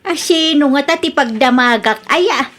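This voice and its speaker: A woman's voice: sits high, a little slow, a bit bright. A woman playing a suspicious, insistent middle-aged village aunt in a comedy radio drama, with a nagging, scolding manner. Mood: worried.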